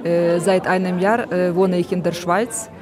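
A woman from Ukraine with a Ukrainian accent giving a halting interview answer as a language learner. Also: lowish voice, steady tone, crowd noise. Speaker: event participant interviewed.

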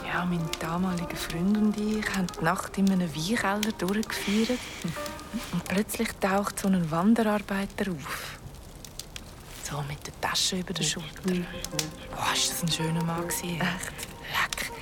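A woman's voice: on the low side, steady tone, unhurried, music in the background, natural voice. An actress playing a blunt, wistful young ghost woman in an audio drama, frank and conversational. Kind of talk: naturalistic audio drama dialogue.

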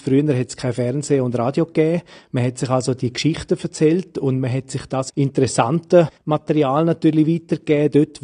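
A man's voice: fairly high pitch, dark tone, husky. A man with a Swiss German accent explaining things as a museum director in an interview.

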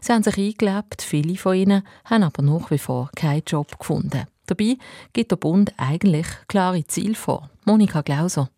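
A woman's voice: fairly low pitch, fairly quick, warm tone, no echo, clean studio sound. A woman with a Basel Swiss German accent presenting radio news from a script.

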